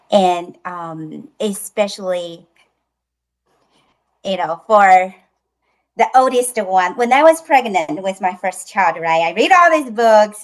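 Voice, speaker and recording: A woman from China with a Chinese accent, speaking English as an acquired language, talks slowly as an interview guest, with many pauses and fillers. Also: mid-range voice, poor audio. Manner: slow and deliberate, many ums and pauses. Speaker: guest, children's book creator. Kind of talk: interview conversation.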